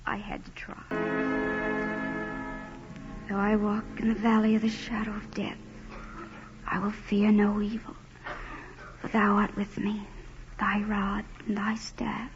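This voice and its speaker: An actress in 1940s radio style playing an earnest, anxious young wife, pleading with a cruel aristocratic husband. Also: female voice, medium pitch, completely flat delivery, takes it slowly, pauses often, music in the background. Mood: hushed, grieving. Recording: muffled, noisy 1940s radio recording.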